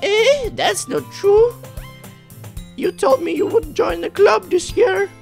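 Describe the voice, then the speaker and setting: A man acts out a carefree anime schoolgirl from a visual novel in a very high, squeaky falsetto. She is bubbly, caring and exaggeratedly girlish, speaking over background music. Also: lively intonation, slow, vocal fry, full character voice. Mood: cheerful, insistent.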